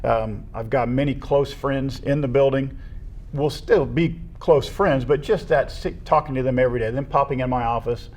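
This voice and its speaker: A man's voice: medium pitch, normal speed, darkish tone. Earnest middle-aged American man, casual interview. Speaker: retiring assistant athletic director, interview guest.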